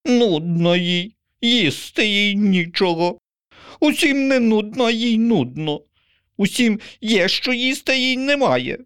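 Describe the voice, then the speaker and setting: A man's voice: high voice, lively intonation, a little slow, a bit bright, clear, resonant tone, pitched above the performer's own voice. A man voicing a nervous, grumpy male pigeon who snorts and mocks. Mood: mocking. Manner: snorting, twitchy, mocking.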